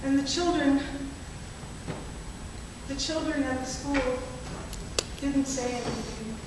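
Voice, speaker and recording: A woman voicing a resilient refugee mother, telling her story in a measured way with pauses. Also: high-pitched. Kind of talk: spoken-word poetry performance. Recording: echoey hall from far off, noisy.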